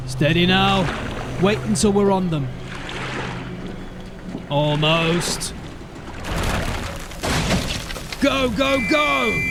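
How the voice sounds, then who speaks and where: An actor playing a blunt, commanding veteran sergeant of a city watch. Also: male voice, sits high. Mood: urgent. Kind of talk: audio drama acting. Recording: music bed under the voice. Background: music.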